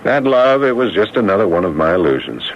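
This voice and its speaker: An actor playing a proud, troubled businessman in a radio drama, brooding and self-important, with a halting, strained delivery. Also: lowish voice, sing-song. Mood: weary, bitter. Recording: dull, noisy old radio broadcast recording.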